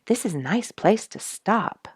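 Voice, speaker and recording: A woman voicing an excitable, friendly mother mallard duck for a children's storybook, with loud, eager quacking and chatter. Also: mid-range voice, unhurried, slightly rough, voice pushed up. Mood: pleased.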